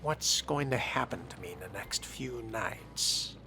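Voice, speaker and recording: Man playing a slow, gravelly vampire fledgling. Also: medium pitch, lively intonation, over music. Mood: curious. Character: wry, curious newly made male vampire fledgling. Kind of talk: role-play game dialogue.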